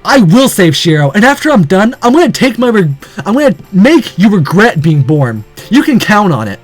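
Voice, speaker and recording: A man's voice: high voice, very animated, normal speed, soft background music. A man voicing a hot-headed teenage boy hero in a visual novel, anguished, indignant and defiant. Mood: furious. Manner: emotional, indignant outbursts.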